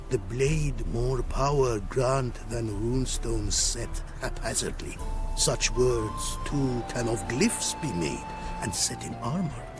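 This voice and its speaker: An actor playing a courteous, proud scholar-artisan in a video game, speaking in formal, inverted, archaic phrasing. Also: male voice, medium pitch, natural voice. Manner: formal, inverted archaic syntax, deliberate emphasis. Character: courteous, proud foreign male rune craftsman, melancholy scholar. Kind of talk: video game cutscene acting.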